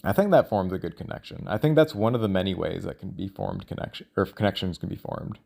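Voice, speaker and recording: Man, lowish voice, casual rambling monologue, musing, halting, self-questioning. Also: expressive, gravelly, dark tone. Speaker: solo audio log creator.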